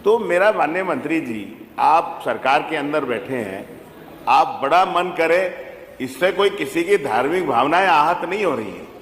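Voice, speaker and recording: A man speaks in a slightly raised pitch, appealing deferentially in a legislative debate. His voice rings through a large hall with long reverb and strong echo, over faint noise. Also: a bit bright. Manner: deferential, often addressing the chair as sir. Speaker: opposition legislator making a request in a state assembly. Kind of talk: impromptu parliamentary debate speech.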